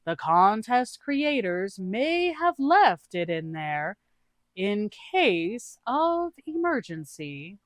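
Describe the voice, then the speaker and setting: A woman voicing a dopey, guileless fantasy adventurer with drawn-out, deliberate speech in a read-aloud tabletop story. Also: medium pitch, big pitch swings, no room sound, studio quality, pitched above the performer's own voice. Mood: innocent, evasive.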